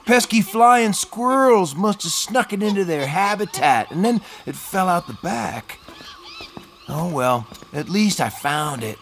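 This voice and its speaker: A woman's voice: on the low side, expressive, unhurried, background noise. A woman voicing a goofy, bumbling zoo worker with silly muttering in a children's audio drama. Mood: puzzled, pleased.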